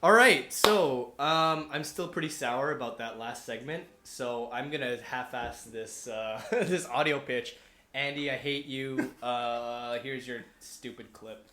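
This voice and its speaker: A man's voice: medium pitch, takes it slowly, bright, forceful tone. A man hosting a podcast segment in a casual, rambling, joking way, with informal banter and some swearing. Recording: roomy sound with faint background noise.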